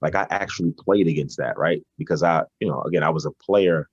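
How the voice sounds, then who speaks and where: A man's voice: very deep voice, a little fast, breathy edge, lo-fi sound. A man, a basketball coach answering interview questions conversationally, hesitating and repeating words as he thinks. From Brooklyn, New York, United States. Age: 40s.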